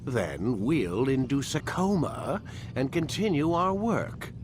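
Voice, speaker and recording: An actor playing a cold, ruthless older scientist in a video game, speaking in a measured, formal, lecturing way. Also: male voice, mid-range voice, expressive, noisy background. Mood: cold, menacing. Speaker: scientist in charge.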